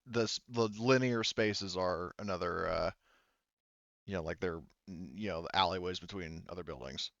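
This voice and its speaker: A low-pitched man runs a tabletop roleplaying game, talking through the setup in a casual way. The recording is close and dry, slightly dull. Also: normal speed, gravelly, fairly bright tone, no room sound. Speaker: game master.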